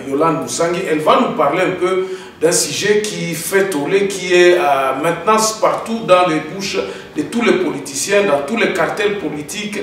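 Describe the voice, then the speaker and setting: A man hosting a political interview in a halting, wordy conversational way. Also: on the high side, fairly bright tone. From Democratic Republic of the Congo. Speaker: host. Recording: echoey, distant mic, faint noise.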